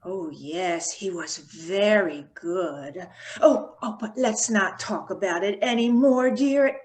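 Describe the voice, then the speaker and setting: A woman playing a kindly, sensible aunt with a gentle manner in an old-time radio drama. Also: mid-range voice, takes it slowly, close to an everyday voice. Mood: kindly, tired.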